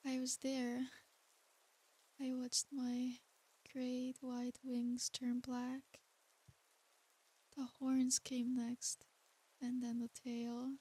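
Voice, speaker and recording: A woman playing an ancient, tender and seductive succubus demon in a soft ASMR bedtime roleplay. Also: high-pitched, heavily acted, poor audio. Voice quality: soft, breathy. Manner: slow, intimate, soothing bedtime delivery.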